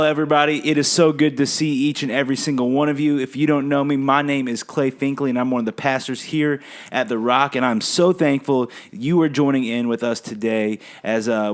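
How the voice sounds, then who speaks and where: A young man speaking in a friendly, informal, encouraging way as a pastor hosting a family chat. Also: medium pitch. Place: family kitchen.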